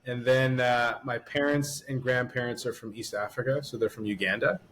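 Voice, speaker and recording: A man's voice: medium pitch, fairly even intonation, normal speed, fairly bright tone, a little hiss or hum. A man from Canada, a surgeon and podcast guest, chatting casually over a video call with lots of ums and laughs. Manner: laughs often, hesitant with many ums.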